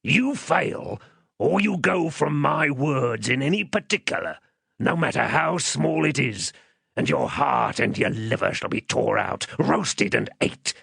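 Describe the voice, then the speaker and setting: A man voicing a gravelly, menacing rough convict. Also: medium pitch, expressive, unhurried, a bit bright, no echo, studio quality, voice pushed up, exaggerated voice. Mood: threatening. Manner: threatening, coarse rustic speech.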